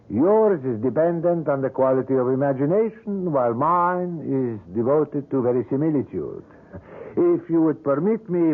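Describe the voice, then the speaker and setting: A sinister, overly polite sculptor, who speaks formally and hesitates often. He uses a mid-range voice. The sound is tinny and thin, like an old radio broadcast. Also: mid-range voice. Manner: halting, formal speech with many hesitations. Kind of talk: 1970s radio drama acting.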